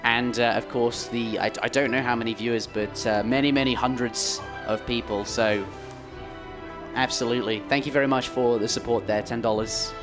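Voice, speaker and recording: A man's voice: medium pitch, steady tone, normal speed, music in the background. A man casting a live esports match in a studio, chatty, his voice strained after days of commentary. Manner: chatty, reads viewer messages aloud. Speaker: esports caster.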